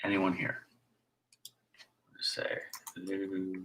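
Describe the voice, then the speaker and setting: A man with a raspy voice hosting a casual live stream as a filmmaker, rambling in a chatty way and sometimes dropping to a whisper. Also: low-pitched, completely flat delivery, takes it slowly, slight background noise. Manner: hushed at times, drifting into whispers; chatty, with fillers and restarts.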